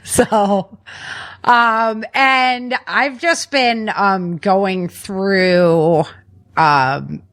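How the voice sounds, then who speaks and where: A woman's voice: mid-range voice, drawn-out words, a bit bright. A woman hosting a podcast in casual, chatty conversation from a family room at home, using informal, slangy language. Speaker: podcast host.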